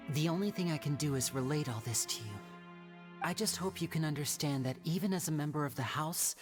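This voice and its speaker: A man playing an earnest, polite and composed young man in an anime video game, explaining things in a measured, sincere way. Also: a little high, close to an everyday voice. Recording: music clearly playing behind the voice.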